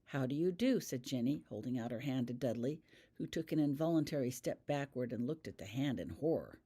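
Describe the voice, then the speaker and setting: A narrator reads a story aloud in a mid-pitched voice. The delivery is scripted audiobook narration, read by the author of the piece. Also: expressive, fairly quick, dark tone, husky. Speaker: author reading own fan fiction.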